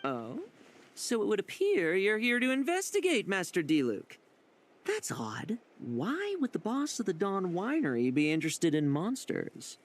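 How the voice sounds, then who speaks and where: A man's voice: high voice, wildly swooping pitch, a little slow, heavily acted. An actor playing a sly, playful young male knight in a video game, with a teasing, wry delivery. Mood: probing, teasing. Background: game music.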